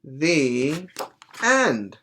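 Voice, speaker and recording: A middle-aged man teaching preschoolers, reading aloud and explaining things in a deliberate, didactic way. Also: a little high, very animated, a bit bright, a little hiss or hum. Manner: deliberate, didactic, stopping to explain and check understanding. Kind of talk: read-aloud to young children with plain explanations. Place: small indoor room at home.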